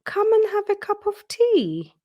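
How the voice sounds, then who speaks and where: A woman's voice: very high voice, wildly swooping pitch, measured pace, dull audio, voice pushed up, heavily acted. A woman voicing a friendly, hospitable female hedgehog in a children's storybook. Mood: welcoming.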